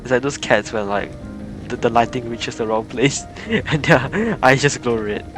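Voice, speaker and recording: A male streamer chats casually while playing, rambling along in a giggly way. His voice is mid-pitched, with clear game music behind it. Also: unhurried, low-quality recording. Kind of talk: casual live gameplay commentary.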